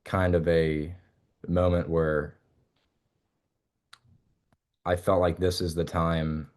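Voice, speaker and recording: Young man with a very low voice who talks casually and loosely, full of fillers and restarts and ideas that trail off as he chats with an interviewer. He comes across as a relaxed musician guest. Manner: rambling, halting with many fillers and restarts.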